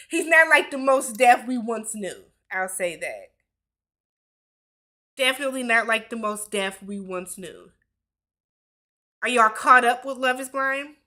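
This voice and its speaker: Chatty woman host in her forties, bright and slightly high voice, loose livestream Q&A. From Milwaukee, Wisconsin. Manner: chatty, laughs often, reads viewer comments aloud. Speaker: YouTube host. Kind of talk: casual livestream Q&A chat.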